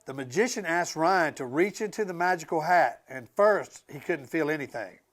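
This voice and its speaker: A man reading a picture book aloud to children in an expressive, emphatic way, with casual asides to his young listeners. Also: fairly high pitch, normal speed. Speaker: school transportation director reading aloud to students.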